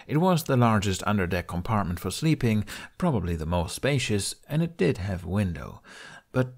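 A man narrates a story in a fairly low voice. His delivery is expressive and scripted, with pitch that moves around a lot as he tells the tale. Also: normal speed. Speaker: audiobook narrator.

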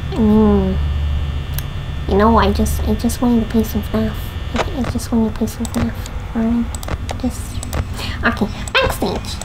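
A woman's voice: a little high, noisy background. A young woman with a headset, playfully commentating a horror game, chatting to her viewers and teasing the game characters. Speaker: gaming video host.

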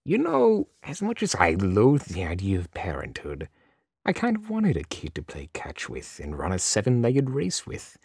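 A man's voice: fairly low pitch, huge pitch swings, creaky, a little mellow, slightly rough, no room sound, clean studio sound, full character voice. Theatrical trickster dragon man, swooping wildly acted out by a man. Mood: excitable. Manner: theatrical, halting, exclamatory. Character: chaotic male dragon-like trickster, dramatic, tipsy, flippant boyfriend. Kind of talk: fan-made animated radio play acting.

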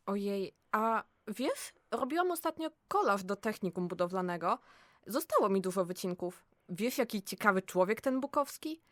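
A woman's voice: mid-range voice, animated, moderate pace, dry recording, studio quality, close to an everyday voice. A woman playing a chatty, curious and helpful office colleague in an audio drama. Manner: chatty, exclamatory, eager. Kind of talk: amateur audio drama acting.

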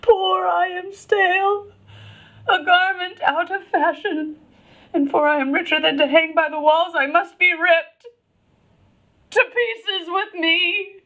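A woman's voice: very high-pitched, fairly even intonation, slow pace, a bit bright, dull audio, exaggerated voice. A woman playing a passionate, wronged young princess in a classical Shakespearean dramatic monologue, intense and emotional. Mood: tearful despair.

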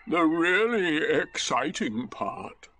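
A mournful donkey from a children's storybook. The words drag along very slowly in a raised, widely swooping pitch. The manner is lugubrious and full of resigned self-pity. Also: male voice, big pitch swings, pushed and bright, slight background noise, exaggerated voice. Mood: melancholy grumbling. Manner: slow, drawn-out, lugubrious complaining. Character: gloomy, melancholy, self-pitying old donkey.

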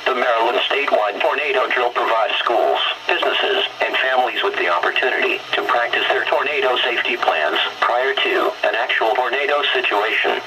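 A synthetic man's voice reading a formal automated weather bulletin in a flat, measured way through a radio speaker. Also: mid-range voice. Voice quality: synthetic, robotic. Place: played from weather radio on wooden desk. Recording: very noisy weather radio, re-recorded in room.

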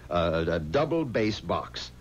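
A middle-aged man, an assured, fatherly and somewhat pompous boss in a 1970s radio mystery. His delivery is very animated, heard on a dull old recording with faint noise. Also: low voice, takes it slowly, heavily acted. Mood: dismissive. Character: confident, paternal funeral home boss, brisk and dismissive. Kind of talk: 1970s radio drama acting.

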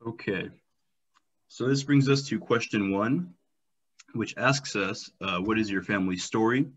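A man with a low voice moderates an online panel, reading questions formally. The video call audio sounds dull and muffled. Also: unhurried, a little hiss or hum.

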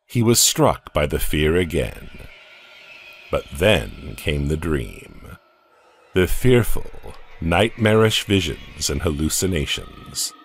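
A man with a very deep voice reads a horror story slowly and dramatically, and his pitch rises and falls widely. Faint ambient noise sits under the reading. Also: very animated. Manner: slow and dramatic. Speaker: narrator. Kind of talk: audiobook horror narration.

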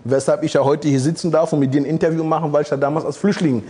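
A man's voice: on the high side, fairly even intonation, very fast, warm tone, slightly rough. A man in his forties from Congo, a guest in a studio interview, talking bluntly with many hesitations on a lapel mic. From Democratic Republic of the Congo. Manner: blunt, provocative, frequent hesitations. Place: studio set with red curtains.

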